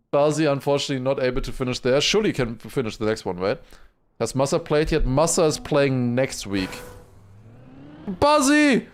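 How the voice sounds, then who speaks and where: A man livestreaming excited esports commentary mixed with casual chat, raising his voice to a shout at big moments. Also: sits high, a little hiss or hum. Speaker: esports watchparty streamer and commentator.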